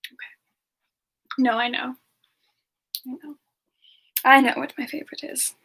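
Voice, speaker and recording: Slow, high-voiced woman musing aloud. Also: flat delivery, gravelly, sharp tone, breathy edge, poor audio. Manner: halting, musing aloud, giggly. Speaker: livestream host in character, answering viewer questions. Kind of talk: semi-ASMR in-character live Q&A chat.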